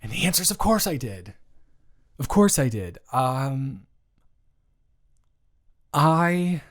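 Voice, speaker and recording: Awkward, teasing ex-boyfriend played by a man, slow delivery, medium pitch, stammering, for intimate audio roleplay. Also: lightly acted. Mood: candid, hesitant. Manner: halting, stammering, with long pauses. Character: awkward, earnest, teasing young ex-boyfriend trying to reconcile. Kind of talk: improvised romantic audio roleplay, addressed to the listener.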